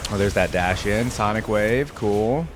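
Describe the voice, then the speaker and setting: A man's voice: fairly low pitch, a little slow. A man giving casual gaming reaction commentary, reading aloud and commenting offhandedly as host.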